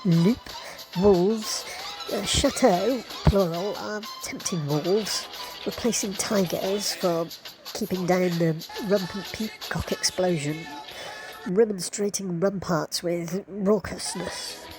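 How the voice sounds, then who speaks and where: A woman's voice: on the low side, takes it slowly, over music, rough recording. A woman reading wry, wordy scripted narration with arch, playful and florid phrasing.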